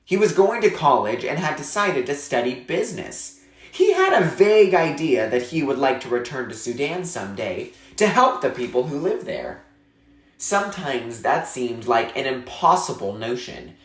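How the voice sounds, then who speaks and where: A man narrating a children's novel aloud in an expressive, measured storytelling style with clear phrasing. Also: medium pitch, echoey room.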